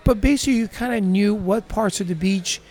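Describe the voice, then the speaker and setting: High-voiced enthusiastic man from Brazil. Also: brisk, a little mellow. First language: Portuguese. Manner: enthusiastic, laughs often, surfer slang. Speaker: guest. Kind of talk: casual interview chat.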